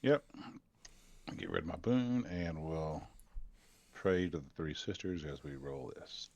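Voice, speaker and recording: A man's voice: sits low, animated, measured pace, creaky, a little mellow, dry recording, muffled recording. A man chatting casually as a player in a tabletop role-playing game.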